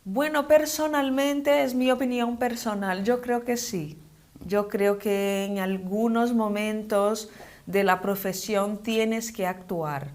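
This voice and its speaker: Slow-paced young woman, non-native Spanish. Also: medium pitch, a little mellow. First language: not Spanish, speaks it as a second language. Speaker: interview guest, model and actress. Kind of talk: conversational interview.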